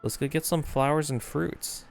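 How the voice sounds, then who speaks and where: A man's voice: a little high, steady tone, normal speed, gravelly, faint music behind. A man giving casual, sweary game commentary, often muttering to himself and exclaiming. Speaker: game streamer.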